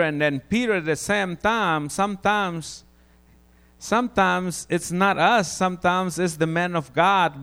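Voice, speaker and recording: Guatemalan guy preaching, bit raspy fry, keeps restarting and repeating himself. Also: a little high, a bit bright. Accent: Guatemalan Spanish. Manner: halting, repeats words, restarts often. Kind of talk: informal testimony sermon.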